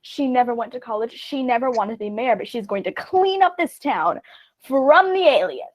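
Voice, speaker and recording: An argumentative, self-assured actress in a stage comedy acted by a woman. Her voice is very high, and she delivers her scorn and indignation with emphatic theatrical force. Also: animated, normal speed. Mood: defiant.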